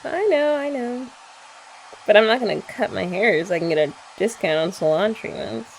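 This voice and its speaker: Young woman, ASMR girlfriend roleplay; playful, teasing, lively pitch, some vocal fry, faint dryer noise behind. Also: normal speed, rough recording. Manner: playful teasing chat, soft-spoken. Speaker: ASMR creator.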